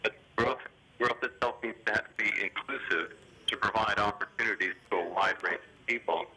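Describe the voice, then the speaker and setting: Man, bright forceful tone, slightly rough voice, formal and hesitant, phone interview, official commentary. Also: lowish voice, a little fast. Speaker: development bank official being interviewed. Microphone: telephone. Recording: sharp, grainy telephone line.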